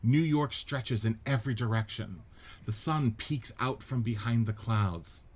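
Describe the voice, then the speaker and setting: A man narrating the stage directions of a radio play script in a steady, descriptive reading. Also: medium pitch, unhurried, breathy edge.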